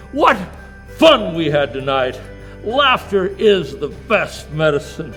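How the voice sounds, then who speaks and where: Man playing jolly Santa Claus, slow and animated. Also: high-pitched, faint music behind, heavily acted. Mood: jolly, musing. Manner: jovial, chuckling, rambling. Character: jolly, long-winded old Santa Claus. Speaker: show host. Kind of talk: in-character hosting.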